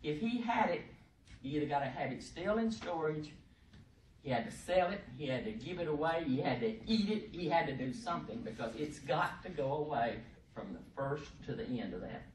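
A middle-aged woman, giving a loud, emphatic, folksy training talk from a podium in a conference room. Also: low voice, animated, a little fast. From Texas. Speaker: presenter at a workshop. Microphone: podium microphone, heard from across the room. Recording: echoey room, distant mic, faint hiss.

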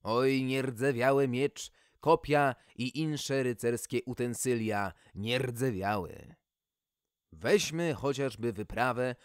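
Male podcast narrator, medium pitch, slow measured pace, folksy theatrical fairy-tale reading. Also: dry recording, studio quality. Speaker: podcast host and story reader.

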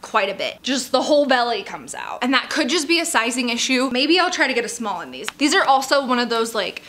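A woman's voice: on the high side, big pitch swings, fairly quick, fairly bright tone. A young woman in her twenties chatting through a casual activewear try-on review, upbeat and full of "like" fillers and little laughs. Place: bedroom closet with stacked clothes shelves.

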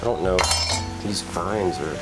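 A man's voice: sits low, unhurried, over loud music, poor audio. A man in his thirties bantering casually as he co-hosts a home cooking video, dry and wry in his humor. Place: home kitchen.

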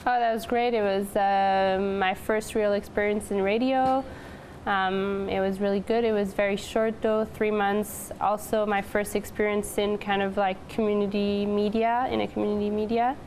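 Young woman guest with a creaky voice. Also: mid-range voice, level tone, normal speed, a little mellow, slight background noise. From Montreal, Canada. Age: 20s. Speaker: interview guest, newspaper editor-in-chief. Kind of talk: casual chat. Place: TV studio. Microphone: lapel microphone.

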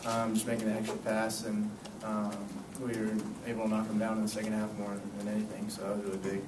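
A man's voice: on the low side, fast talker. A young man, a college basketball player, answering reporters' questions at a postgame press conference with lots of "um" and "you know". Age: young adult. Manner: relaxed, filler-heavy answers with many "um" and "you know". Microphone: table mic, picked up from a distance. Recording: echoey room, distant mic, noisy.

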